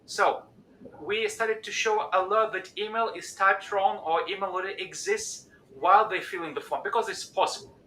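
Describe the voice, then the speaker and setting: A non-native English-speaking man with a high, bright voice hosts a live stream. He talks haltingly, stopping often on fillers. The sound is roomy and echoey, with a faint hum of noise behind him. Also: steady tone. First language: not English. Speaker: host.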